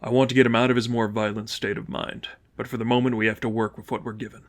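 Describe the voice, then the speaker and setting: Man playing a stern, hushed vigilante leader. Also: level tone, fast talker, natural voice. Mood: hushed, serious. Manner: terse, low-voiced, authoritative. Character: stern, commanding vigilante father in charge.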